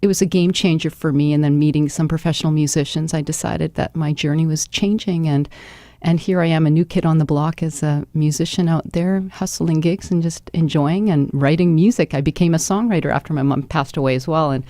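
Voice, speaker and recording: A woman's voice: sits low, steady tone, brisk, hardly any pauses, dark tone, dry recording. A Canadian woman speaking effusively as a musician guest in a live radio interview. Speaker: interview guest, singer-songwriter. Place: radio studio.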